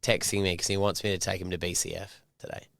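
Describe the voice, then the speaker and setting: A middle-aged man co-hosting a podcast, chatting casually and teasing with quick storytelling. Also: sits low, flat delivery, creaky, a bit bright, no echo, clean studio sound. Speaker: podcast co-host. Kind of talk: casual chat.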